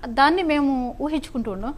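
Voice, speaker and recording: A woman's voice: high voice, quick pace. A woman with a Telugu accent asking questions casually as a film interviewer.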